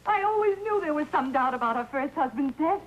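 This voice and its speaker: A woman's voice: high voice, expressive, a little fast. An actress playing a horrified, distraught woman in a 1950s television crime melodrama, stammering and emotional. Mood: horrified, tearful. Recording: dull, noisy 1950s TV soundtrack.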